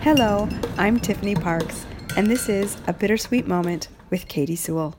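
A woman's voice: medium pitch, normal speed. A woman reading a scripted podcast announcement as an announcer. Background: music.

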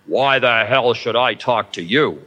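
A man voicing a big, drunk male laborer who bellows in rough, broken grammar. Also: mid-range voice, animated, unhurried, sharp tone, lo-fi sound. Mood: belligerent.

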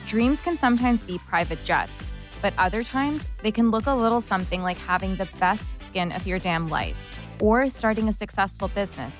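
A woman's voice: mid-range voice, fairly bright tone. A woman host reading a breezy, upbeat scripted intro to her podcast.

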